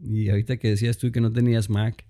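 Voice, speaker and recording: Colombian man in his thirties with a Mexican-tinged accent, low mellow voice, loose rambling interview storyteller. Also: fast talker. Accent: Mexican-influenced Spanish. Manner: relaxed, rambling, frequent fillers and restarts. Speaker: guest, music producer.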